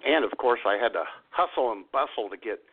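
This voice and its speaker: A man's voice: mid-range voice, animated, a little fast, slightly rough. A man co-hosting a casual comic radio show, telling stories in a folksy, exasperated way. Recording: thin phone-like radio audio.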